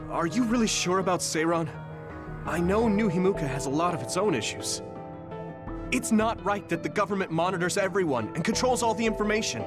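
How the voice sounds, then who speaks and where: An actor playing an earnest, idealistic young male soldier in a video game. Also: high voice. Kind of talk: English video game voice acting.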